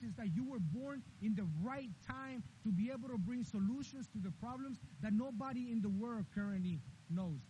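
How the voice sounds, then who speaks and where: A man's voice: sits high, mellow. A man from Honduras giving an earnest commencement speech with repeated emphatic phrases. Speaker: commencement speaker. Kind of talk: graduation speech. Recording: dull lo-fi with faint hiss.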